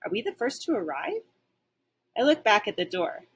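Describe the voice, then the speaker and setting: A woman's voice: medium pitch, sing-song, normal speed, a bit bright, slightly rough. A woman reading a children's story aloud like a teacher, expressively, with dramatic and varied emphasis.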